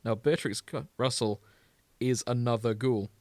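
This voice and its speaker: A man's voice: mid-range voice, unhurried, a little mellow, no room sound. Man bantering, close dry sound. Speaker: podcast host. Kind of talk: casual banter about fan fiction, reading excerpts aloud.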